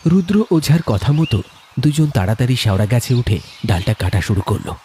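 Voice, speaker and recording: A man's voice: mid-range voice, sing-song, normal speed, mellow, slight background noise. A man narrating a scripted horror story in a dramatic, suspenseful storytelling manner. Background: music.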